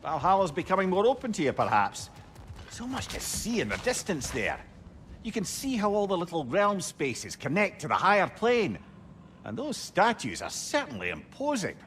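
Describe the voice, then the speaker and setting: An actor playing a wry, talkative old adviser, wordy and philosophical, in a video game. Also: male voice, high voice, huge pitch swings, normal speed, fairly bright tone, slight background noise, full character voice. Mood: inquisitive. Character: wry, talkative, counselling old male companion. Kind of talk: dramatic video game acting.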